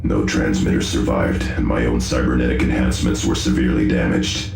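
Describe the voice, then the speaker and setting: An actor voicing a stoic cybernetic space marine engineer in a game, speaking in a measured, formal, technical way. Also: male voice, rumbling low, fairly even intonation, creaky, darkish tone, full character voice. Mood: grave. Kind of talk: scripted video game cutscene acting. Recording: echoing, music behind.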